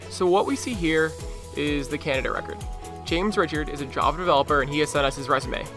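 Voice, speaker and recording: A man presenting a promotional software demonstration. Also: fairly high pitch, fast. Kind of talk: promotional software demo narration. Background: music.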